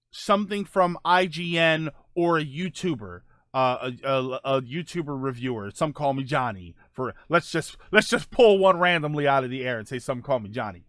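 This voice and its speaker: A man's voice: fairly high pitch, fairly bright tone, no echo, studio quality. A man hosting a casual live stream, thinking aloud while reading viewer comments, often restarting his sentences. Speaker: streamer host reading chat.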